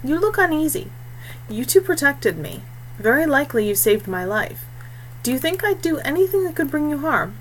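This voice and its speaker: A woman's voice: sits high, sing-song, moderate pace, a little hiss or hum, pitched above the performer's own voice, poor audio. Woman reading as patient young forest guide woman. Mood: quiet assurance. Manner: quiet, patient, assured.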